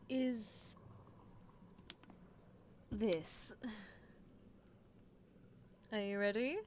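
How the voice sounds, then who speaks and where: A woman's voice: fairly high pitch, darkish tone, slight background noise. A woman voicing a playful mad-scientist horse girl who teases and dotes on a test subject in live roleplay ASMR. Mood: coaxing, anticipating. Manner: teasing, theatrical, doting.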